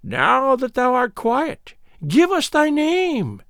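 A man voicing an ancient, cruel witch who speaks slowly with shrill mockery. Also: wildly swooping pitch, a bit bright, no echo, clean studio sound, exaggerated voice. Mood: mocking. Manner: shrill, slow, mocking.

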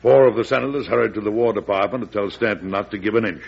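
A man narrates a classic radio drama from a script. His voice is low and gravelly. The old broadcast sounds muffled. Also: fast.

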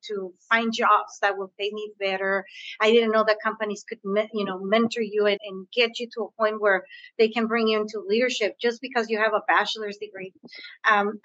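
A woman's voice: a little high, a bit bright, no echo, highs cut off. A woman with a Spanish accent, raised on the US-Mexico border, answering interview questions about negotiation in an earnest way and often repeating words as she thinks. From US-Mexico border region. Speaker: guest, negotiation coach.

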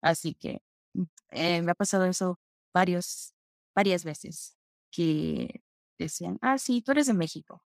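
A young woman from Peru telling stories about her childhood in a relaxed interview, slipping in English phrases. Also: on the low side, unhurried, pauses often, no room sound, studio quality. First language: Spanish. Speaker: guest. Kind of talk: conversational interview.

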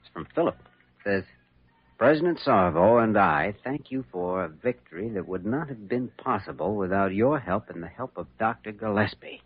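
An actor playing an earnest, conscientious surgeon in an old radio drama, husky and soft-spoken. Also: male voice, animated, moderate pace, no room sound. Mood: reading aloud. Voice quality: low, husky. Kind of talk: 1940s-50s radio drama acting. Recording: muffled old radio recording.

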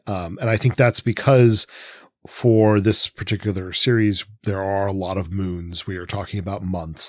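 A man's voice: lowish voice, a little mellow, no echo, clean studio sound. A man co-hosting a podcast, offering analytical commentary as he thinks aloud, often qualifying his points. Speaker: podcast co-host.

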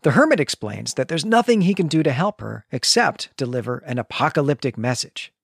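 A man co-hosting a book podcast, retelling a chapter in a lively storytelling way. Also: mid-range voice, a little fast, gravelly, husky, no room sound, clean studio sound. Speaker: podcast co-host. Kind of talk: book-club podcast recap.

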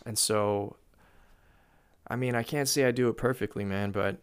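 A man in casual podcast conversation, telling a story with laughs and lively asides. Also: fairly low pitch, normal speed, clean tone. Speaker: guest speaker.

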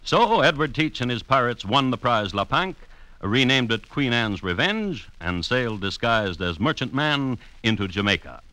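An actor playing a deadpan, wry connoisseur of crime, hosting and narrating an old-time radio show with deliberate phrasing. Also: male voice, mid-range voice, no room sound, poor audio. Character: dry, wry male connoisseur of crime, storytelling host. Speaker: host and narrator. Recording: hissy old radio recording.

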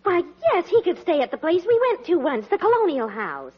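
An actress playing an affectionate, brisk American wife and mother in a 1940s radio comedy. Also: very high voice, sing-song. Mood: concerned. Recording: muffled old radio, audience behind.

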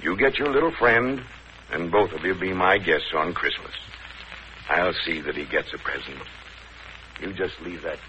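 An actor playing a kindly, grave older children's counselor, measured and gently admonishing, in a 1940s radio drama. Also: male voice, sits low. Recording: dull, hissy old radio broadcast.

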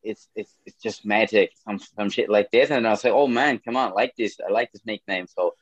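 A man's voice: on the low side, a little fast, no echo, dull audio. A young man from Brazil with a Brazilian Portuguese accent, speaking English as a second language in a casual interview, often repeating words and swearing casually. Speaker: MMA fighter.

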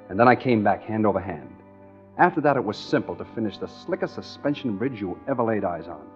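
A man's voice: mid-range voice, natural voice. An American actor playing a tough, scheming engineer who tells his own swindle in hard-boiled 1940s radio drama style. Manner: hard-boiled first-person storytelling. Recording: dull 1940s radio recording with music.

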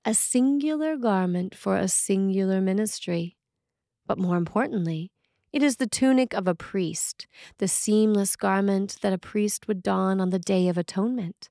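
A woman priest reading a scripted sermon in a measured, deliberate and solemn manner. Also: mid-range voice, dark tone, no echo, clean studio sound. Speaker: Episcopal priest reading a sermon written by a colleague. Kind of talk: read sermon, scripted narration.

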